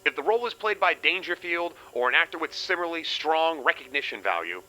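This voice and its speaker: A man's voice: a little high, animated, fast, bright, forceful tone, low-quality recording. A man voicing an opinionated writer reading out a letter in an emphatic, articulate way. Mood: earnest, opinionated. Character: opinionated male lyricist reading out his written film notes.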